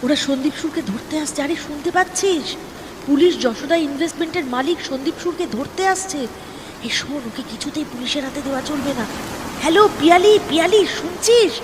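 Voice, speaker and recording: Woman doing a ruthless seductive bengali exec lady, audio drama, highish voice, big reverb. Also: fairly quick, noisy background. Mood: urgent, conspiratorial. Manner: urgent when plotting, coy and breathy when flattering. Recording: long reverb, clear music behind. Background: background music.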